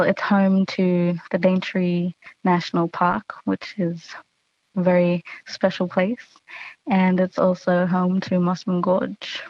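A young Australian woman in her late teens talks slowly and earnestly in a flat, level tone. Her relaxed delivery has casual fillers. The recording is dry and slightly dull. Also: lowish voice, dry recording. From Queensland, Australia. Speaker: student sharing words of her language. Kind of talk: personal talk.

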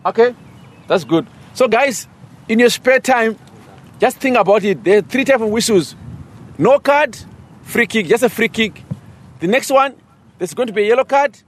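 A man's voice: very high voice, expressive, normal speed, pauses often. A man teaching a referee course, questioning trainees in an emphatic, instructive way. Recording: clear outdoor background noise.

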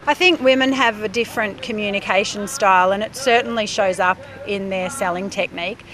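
A middle-aged woman, a speech pathologist, explaining her work in a measured way with fillers. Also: medium pitch, fairly bright tone. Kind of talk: interview.

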